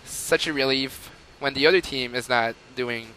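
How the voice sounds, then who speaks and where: A young man with a light Quebec French accent talks over his own gameplay. His voice is bright and forward, and he rambles with fillers and chuckles. There is a faint hiss under it. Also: mid-range voice, moderate pace, low-quality recording. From Montreal, Canada. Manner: rambling and chatty, with frequent fillers and laughs. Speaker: gamer. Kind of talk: gameplay commentary.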